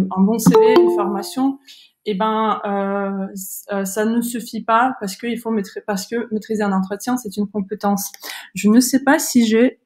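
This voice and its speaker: A woman's voice: mid-range voice, a little mellow. A woman from Kazakhstan with a Kazakh accent, hosting a live webinar on a video call, speaking in a hesitant way with many fillers and restarts. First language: not French. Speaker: webinar host, founder of a Salesforce training school.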